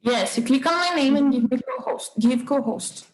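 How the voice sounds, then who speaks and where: A woman co-hosts a video call in a playful, joking way. Her voice is fairly high and she keeps the talk casual. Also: normal speed. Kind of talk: casual chat.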